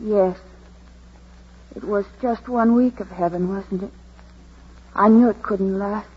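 An actress in a vintage radio drama playing a gentle, self-doubting young woman with a hesitant, earnest way of talking. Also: mid-range voice, slow pace, husky, dull audio. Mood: resigned, sad. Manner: soft-spoken, hesitant, earnest. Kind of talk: 1940s radio drama acting.

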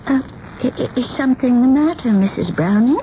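A fragile, dreamy old seamstress from a radio play. Her voice sits very high and quavers, and she hesitates and stammers. The old broadcast recording is muffled, with clear noise. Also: expressive, fairly quick. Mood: flustered, hesitant. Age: older. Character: timid, tremulous elderly spinster seamstress, fragile and dreamy. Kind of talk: radio drama acting.

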